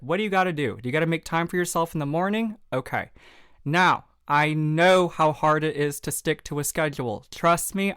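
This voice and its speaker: A man's voice: on the high side, fairly bright tone, no echo, clean studio sound. A man with a Southern American accent hosting a podcast, talking straight to the listener in an upbeat, encouraging, folksy way. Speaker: podcast host. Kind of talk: motivational podcast monologue.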